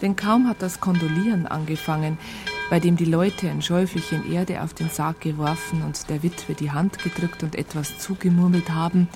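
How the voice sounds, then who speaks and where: An actress playing a wry storyteller, narrating a radio drama with dry humour. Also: female voice, on the low side, natural voice. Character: wry woman storyteller recounting village events. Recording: music behind the voice.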